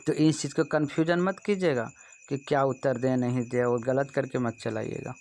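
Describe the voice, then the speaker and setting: A man's voice: medium pitch, steady tone, moderate pace, darkish tone. A man from India with a Bihari accent, speaking as a computer teacher in an explanatory exam-prep lesson, instructive and often checking that students follow. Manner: instructive, repeatedly checks understanding.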